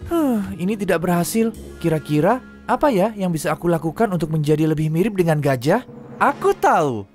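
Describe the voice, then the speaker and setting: An actor voicing a cheerful, eager young talking train engine in a children's cartoon dub, playful and expressive. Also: mid-range voice, brisk, close to an everyday voice. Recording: background music under the voice.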